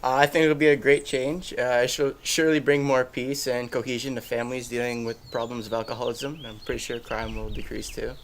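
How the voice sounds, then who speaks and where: Man as a flat-toned, hopeful 1920s shopkeeper. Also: medium pitch, brisk, hardly any pauses, slight background noise, close to an everyday voice. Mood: hopeful, hesitant. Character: mild, hopeful male 1920s furniture dealer. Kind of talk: scripted street interview.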